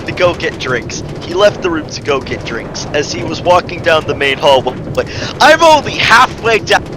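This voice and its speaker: A man's voice: fairly high pitch, sing-song, normal speed. A man giving an amateur dramatic reading of a story, stumbling over the text and breaking off into casual, exasperated asides. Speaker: reader of a fan fiction story. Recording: faint background noise.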